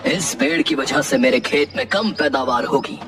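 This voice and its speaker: A man's voice: medium pitch, moderate pace, over music, close to an everyday voice. A man playing a strong, stubborn village wrestler in a Hindi cartoon, speaking in a blunt, domineering way.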